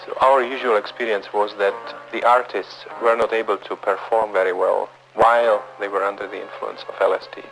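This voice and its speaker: A man, a researcher, explaining his work in measured, formal interview talk on an old film soundtrack. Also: fairly low pitch, fairly even intonation, gravelly, fairly bright tone, faint music behind, poor audio. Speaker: research psychiatrist being interviewed.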